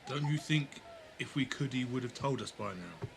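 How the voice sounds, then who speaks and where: An actor playing an earnest, uneasy member of an adventuring group in an audio drama, speaking with concern. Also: male voice, mid-range voice, animated, normal speed. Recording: clearly noisy background ambience.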